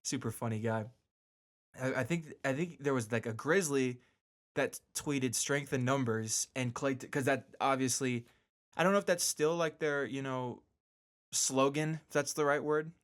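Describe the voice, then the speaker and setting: A man hosting a casual solo sports podcast, rambling and animated, repeating phrases for emphasis with joking asides. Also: mid-range voice, normal speed.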